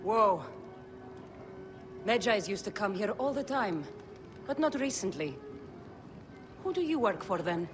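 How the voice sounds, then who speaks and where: A sly brothel keeper from an ancient-world video game. He speaks high and teasingly, always selling. The voice rings in a long hall reverb over clear music. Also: animated, measured pace, pauses often. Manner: salesmanlike, teasing.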